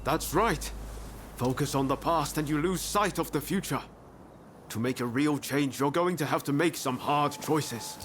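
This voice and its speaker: A man's voice: fairly high pitch, a little slow, a bit bright, slight background noise. A man playing a pragmatic, persuasive warrior in a video game cutscene, speaking directly and with resolve. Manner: plain-spoken, direct.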